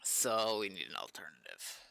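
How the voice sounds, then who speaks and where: A man's voice: low voice, sing-song, normal speed, creaky, sharp tone, clear, resonant tone, no echo. A man giving casual live commentary as he works at a computer, muttering his thoughts aloud, recorded close on a desk mic with typing and clicks. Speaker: streamer developing a game server. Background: keyboard typing and mouse clicks.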